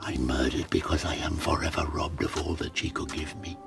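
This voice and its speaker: An actor playing a lonely, tormented, hideous monster in an audiobook drama, eloquent and theatrical, moving between hushed murmurs and fervent pleas, with a gravelly voice. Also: male voice, a bass voice, fairly even intonation, brisk, over music. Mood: menacing, hushed. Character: lonely, tormented, hideous male monster pleading with his maker.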